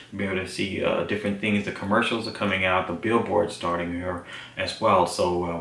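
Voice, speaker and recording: American man, forties, video diary presenter. Very low yet bright, hesitant delivery, roomy sound. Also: recorded from a distance. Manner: halting, searching for words. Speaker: actor presenting a behind-the-scenes series. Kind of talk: informal video diary to camera. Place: small indoor office. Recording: roomy, off-mic, faint music behind.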